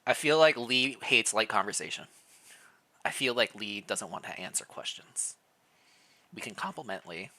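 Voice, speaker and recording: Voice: medium pitch, lively intonation, normal speed, sharp tone. A playful streamer reading a story aloud live, mixing narration with jokey casual chat.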